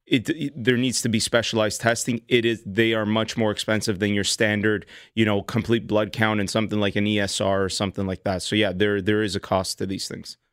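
Low, crisp-voiced man in his thirties. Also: level tone, a bit bright, dry recording, clean studio sound. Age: 30s. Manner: measured, explanatory, with frequent restarts. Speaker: doctor and radio show host. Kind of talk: call-in health advice. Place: radio studio.

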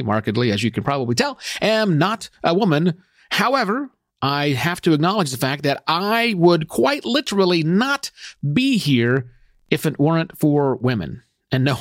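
A man's voice: fairly high pitch, no echo, clean studio sound. A middle-aged man with an American accent, hosting a podcast and speaking to listeners with emphatic stress and repeated words. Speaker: podcast host.

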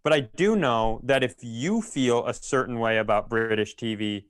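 A man's voice: mid-range voice, moderate pace, clear, resonant tone, no room sound, clean studio sound. A man ranting casually and comedically as a podcast host, exasperated and swearing freely. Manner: exasperated, profane.

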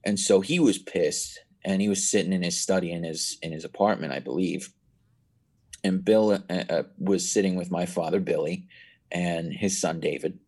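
A man's voice: deep voice, normal speed, darkish tone, breathy edge. A nineteen-year-old man chatting as a podcast guest, telling stories in a rambling way with many restarts. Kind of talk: casual chat.

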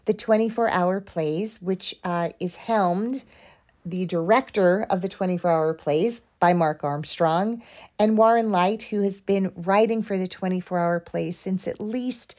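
A woman's voice: medium pitch, unhurried, a little mellow. A woman hosting an interview podcast, introducing things in an enthusiastic, effusive manner. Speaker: podcast host.

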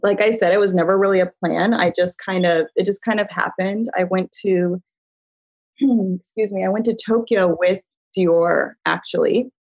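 A woman's voice: medium pitch, fairly even intonation, moderate pace, darkish tone, dry recording, muffled recording. A middle-aged woman from the US Midwest, chatting casually as an interview guest, telling stories with lots of laughs and fillers. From Chicago, US Midwest. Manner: chatty storyteller, laughing often, filler-heavy. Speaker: beauty brand founder, guest.

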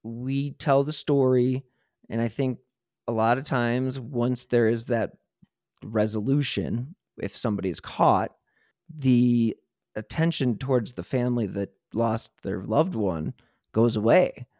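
A man with a medium-pitched voice speaks as a podcast host in conversation. He is earnest, colloquial and ready with his opinions. The sound is dry, with very little room around the voice. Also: unhurried, mellow, no room sound. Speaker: true crime podcast host. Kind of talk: casual conversational interview talk.

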